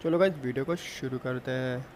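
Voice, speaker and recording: A man's voice: mid-range voice, a little fast, heavy background noise. A young man doing casual gaming commentary, speaking informally and directly to his viewers. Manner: addresses viewers informally and repeatedly. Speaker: gaming YouTuber. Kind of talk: casual gameplay news commentary. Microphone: phone screen recorder voice-over.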